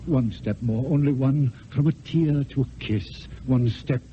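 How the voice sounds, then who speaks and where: An actor playing a passionate, eloquent poet wooing in secret, in lyrical theatrical declamation with dramatic pauses. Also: male voice, mid-range voice, slight background noise, natural voice. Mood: seductive, poetic. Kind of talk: mid-century theatrical classical stage acting. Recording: dull old radio recording with music.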